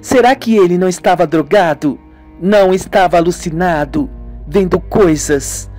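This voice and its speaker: A man voicing a lively, curious young woman in an amateur radio soap, with music. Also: high voice, moderate pace, close to an everyday voice. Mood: sceptical.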